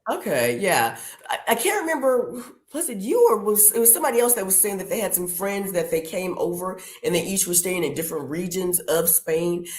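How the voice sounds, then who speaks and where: A low-voiced woman hosts a relaxed podcast chat in an echoey room, her pitch rising and falling widely as she talks. She tells stories and acts out the people in them, saying things like "girl" and "y'all.". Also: brisk, few pauses. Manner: chatty storyteller, acts out quotes, says "girl" and "y'all". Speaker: podcast host. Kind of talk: casual conversational interview.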